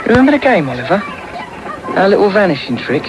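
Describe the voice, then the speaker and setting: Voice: medium pitch, big pitch swings, fast talker, crowd noise, natural voice. An actor in a radio drama playing a crafty young pickpocket, knowing and conspiratorial as he shows the ropes. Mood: sly. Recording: very noisy medium-wave radio tape.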